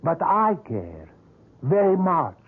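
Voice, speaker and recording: A suave, obsessive older sculptor. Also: male voice, a little high, huge pitch swings, slow, slightly rough, heavily acted. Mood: courtly, insistent. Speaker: sculptor character. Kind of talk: 1970s radio drama acting. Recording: tinny lo-fi old radio broadcast.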